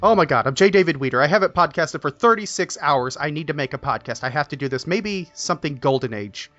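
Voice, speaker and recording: A man's voice: on the high side, lively intonation, quick pace, fairly bright tone, lo-fi sound, faint music behind. A man performing a comedy promo skit in a frantic, comically desperate way.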